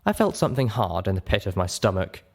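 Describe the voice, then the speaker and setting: A man narrating a story in the first person with an expressive, theatrical, dramatic delivery. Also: fairly low pitch, fast. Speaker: audiobook narrator.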